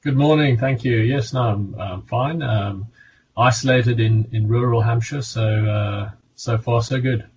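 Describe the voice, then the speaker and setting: A South African man in his fifties, a medical director speaking from home over a video call, measured and halting with many restarts. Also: mid-range voice, a little mellow. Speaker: pharma medical director guest. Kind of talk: remote interview answers. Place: home office in the countryside. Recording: dull lo-fi call audio with faint room noise.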